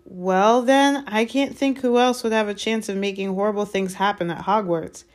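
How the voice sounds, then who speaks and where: A woman voicing an earnest, anxious boy wizard of about twelve in a storybook, speaking in a hurried, halting way. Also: medium pitch, fairly even intonation, pitched above the performer's own voice, close to an everyday voice. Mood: puzzled. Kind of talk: dramatised storybook reading.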